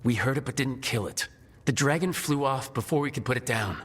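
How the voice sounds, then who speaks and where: A man's voice: medium pitch, brisk, fairly bright tone, slightly rough, long reverb tail. An actor playing a pragmatic, resolute male leader of adventurers in a video game, talking plainly. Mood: plain, defensive.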